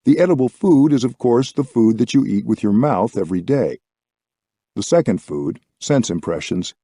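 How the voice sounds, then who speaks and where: A man reading a reflective book as scripted narration, with measured, deliberate phrasing. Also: lowish voice, dark tone, no room sound, studio quality. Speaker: audiobook reader.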